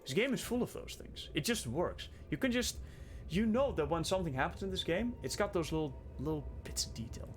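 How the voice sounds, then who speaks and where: A young man with a Dutch accent and a calm, soothing voice, rambling in stream-of-consciousness fashion as he streams and comments on a game. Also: a little high, brisk, soft background music. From Netherlands. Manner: rambling stream-of-consciousness musing, thinking aloud. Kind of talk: casual livestream commentary while playing.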